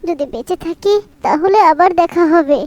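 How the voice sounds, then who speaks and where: Lady doing a little girl crying and pleading, super high voice, dry sound. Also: moderate pace, dark tone, dry recording, highs cut off. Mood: sad farewell. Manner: tearful, pleading. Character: young girl Fatema, war victim, grieving. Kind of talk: cartoon voice acting.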